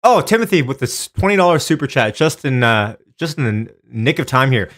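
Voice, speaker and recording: A man's voice: medium pitch, sing-song, a little fast, fairly bright tone. A man hosting a live stream, chatting informally with viewers by name and giving casual commentary.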